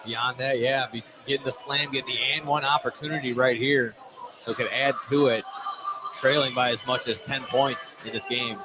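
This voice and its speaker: A man's voice: mid-range voice, steady tone, normal speed, music in the background. A man giving casual color commentary on a live basketball radio broadcast. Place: indoor basketball gym. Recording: very loud noise, live gym broadcast.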